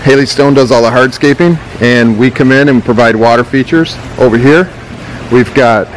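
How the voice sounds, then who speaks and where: A man's voice: mid-range voice, moderate pace, a little hiss or hum, poor audio. A man, an upbeat host giving a walking tour of a greenhouse nursery, camera held at arm's length. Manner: upbeat, salesy, inviting. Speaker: business owner and channel host. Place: large greenhouse nursery, indoors.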